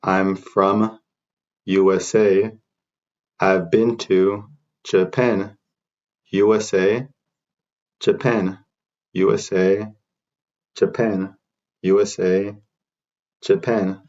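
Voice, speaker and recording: A man teaching beginner English, repeating short phrases slowly for learners to copy. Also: low-pitched, little pitch movement, darkish tone, dull audio. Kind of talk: slow repeat-after-me drill.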